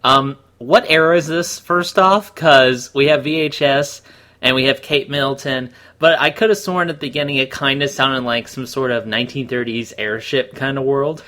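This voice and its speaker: A man's voice: mid-range voice, moderate pace, bright, forceful tone. A man giving casual comedic commentary as a co-host, wry and sarcastic.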